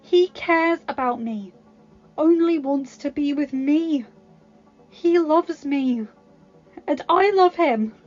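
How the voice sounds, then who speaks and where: A woman voicing a kind, brave young heroine who pleads in a raised, impassioned voice over soft music. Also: sits high, normal speed, voice pushed up, natural voice. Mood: defiant. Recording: dull lo-fi sound with music.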